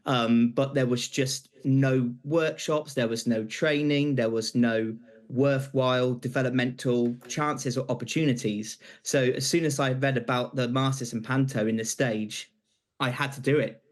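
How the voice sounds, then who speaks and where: A young British man answering questions as a guest on live television, earnest and slightly halting. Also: medium pitch, fairly even intonation. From Britain. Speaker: interview guest, pantomime graduate.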